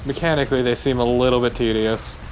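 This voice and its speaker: A man's voice: mid-range voice, fairly even intonation, fast talker, slight background noise, low-quality recording. A man giving wry, casual co-commentary on a video game let's play.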